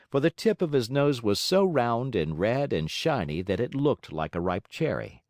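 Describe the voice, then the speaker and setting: A male audiobook narrator reads a children's tale with theatrical emphasis. His pitch is medium and swings very widely, his pace is unhurried, and the recording is dry. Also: a little mellow, no room sound. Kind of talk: expressive children's storybook narration.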